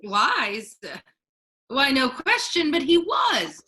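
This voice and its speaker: A woman's voice: sits high, big pitch swings, drawn-out words, pushed and bright, exaggerated voice. A woman acting a dignified, indignant friar in a staged Shakespeare reading, speaking in a formal, righteous manner. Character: Duke disguised as a male friar, dignified, indignant.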